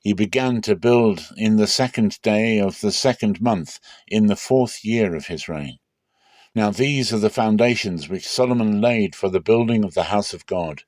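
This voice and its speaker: Male narrator, fairly low pitch, dramatic scripture reading, measured and solemn, dry close-miked sound. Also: a little mellow, no room sound. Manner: solemn, measured, deliberate phrasing. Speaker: Bible reader.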